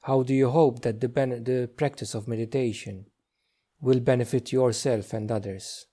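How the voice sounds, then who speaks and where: A man leading a guided meditation as a teacher, speaking gently and slowly with long pauses. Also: medium pitch, steady tone. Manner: slow, hushed, gentle delivery with long pauses.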